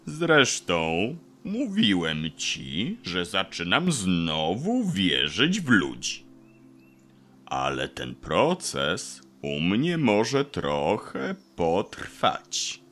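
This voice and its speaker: An actor playing a wise, slow old beech tree with a measured, all-knowing manner in a children's audio play. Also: male voice, sits low, huge pitch swings, sharp tone, full character voice. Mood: serious.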